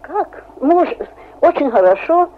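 A woman in her seventies from Hungary, with a Hungarian accent, telling lively stories in a halting, laughing way in a radio interview. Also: high-pitched. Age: 70s. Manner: lively storytelling, halting speech, laughs often. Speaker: interviewee, amateur skater. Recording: tinny phone-like old radio recording.

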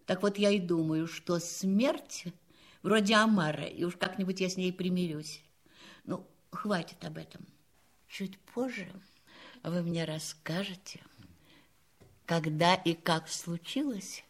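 A woman's voice: on the low side, takes it slowly, long pauses. An actress playing an elderly spinster lady in a drama, candid and gracious, with a reflective, teasing manner. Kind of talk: acted radio-play style dialogue. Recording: music playing behind the voice.

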